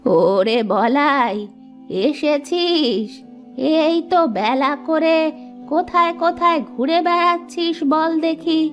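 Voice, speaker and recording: A woman's voice: sits high, slow. Lady doing a tired old cartoon village mom, plaintive and fretting, faint music. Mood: weary pleading. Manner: plaintive, complaining. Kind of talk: Bengali comedy cartoon dubbing.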